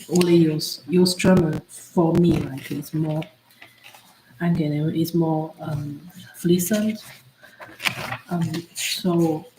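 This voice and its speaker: A woman whose first language is Chinese, chatting on a study livestream in a halting way, with many fillers and restarts. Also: fairly low pitch, mellow. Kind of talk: casual study-stream chat. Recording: echoey room with faint ambient noise.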